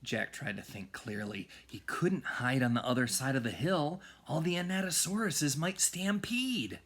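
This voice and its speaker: A man reading a children's storybook aloud in a dramatic manner, often hushed for suspense. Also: mid-range voice, lively intonation, measured pace. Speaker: music teacher reading aloud.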